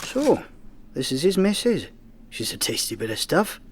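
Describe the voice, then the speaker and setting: An actor playing a cheeky, sardonic police detective sidekick in a comedy radio play. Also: male voice, a little high, sing-song, normal speed, slightly rough. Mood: cheeky, curious.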